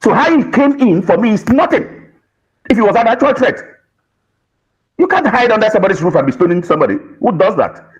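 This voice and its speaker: A man's voice: high-pitched, lively intonation, brisk, long pauses, husky, dull audio. A Nigerian man arguing as a guest on a political panel, emphatic and repetitive, with rhetorical questions.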